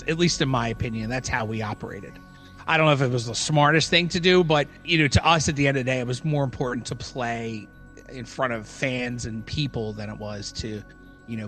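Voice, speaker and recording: A man's voice: medium pitch, fairly bright tone. A man co-hosting a podcast in casual chat, talking informally in long, rambling, noncommittal answers. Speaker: podcast co-host; former band musician.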